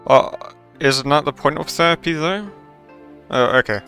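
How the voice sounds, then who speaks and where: Male streamer, bright forceful voice, casual game commentary, offhand, sceptical, game music behind. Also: a little high, moderate pace. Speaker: streamer reacting to a game story.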